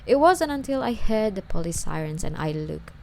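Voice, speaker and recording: Woman acting coy obsessive stalker girl, swinging pitch. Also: big pitch swings, normal speed, vocal fry, dark tone, slight background noise. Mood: wistful, confiding. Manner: coy, wheedling, hesitant, sighing delivery. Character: lovesick obsessive young female stalker under police interrogation. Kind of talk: ASMR roleplay voice acting.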